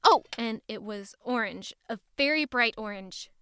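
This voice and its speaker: A woman's voice: on the high side, measured pace, sharp tone, clean tone, no echo, studio quality. An actress playing an anxious young traveling woman with a secret in an audio drama, tense, guarded and hesitant. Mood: hesitant, careful.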